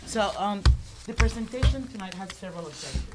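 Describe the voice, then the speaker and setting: A man giving a formal presentation to a school board at a public meeting, pausing often with fillers and restarting his sentences. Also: high-pitched, lively intonation, over loud music, rough recording. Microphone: room microphone, quiet for listeners.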